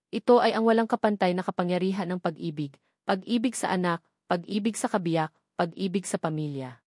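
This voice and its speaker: A woman's voice: mid-range voice, darkish tone, no echo, studio quality. A woman reads a scripted story in an earnest, sentimental, formal way. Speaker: storyteller narrator. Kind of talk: scripted dramatic story narration.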